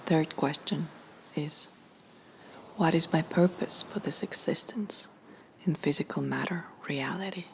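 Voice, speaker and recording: A woman reading a scripted guided meditation in a soft, breathy, near-whispered voice with pauses. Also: sits low, a little hiss or hum, rough recording.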